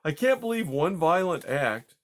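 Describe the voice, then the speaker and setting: A man voicing a calm husband who soothes his wife in a measured, firm, somewhat patronizing way. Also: on the high side, voice pushed up.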